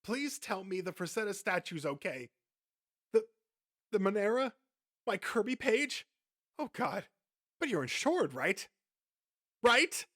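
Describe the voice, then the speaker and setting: A man's voice: big pitch swings, a little slow, long pauses, a bit bright, full character voice. A man voicing a panicky adult male comic collector who keeps raising his voice. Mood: panicked. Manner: shrill, panicky.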